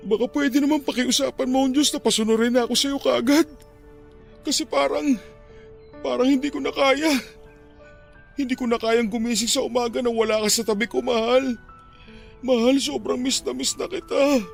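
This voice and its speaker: A man acts out a grieving, aging father in a sentimental radio drama. His voice sits high for a man and crackles with gravel. He is tender, halting and pleading in his despair, with soft music underneath. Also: fairly even intonation. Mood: anguished pleading. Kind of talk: Filipino radio-style drama acting.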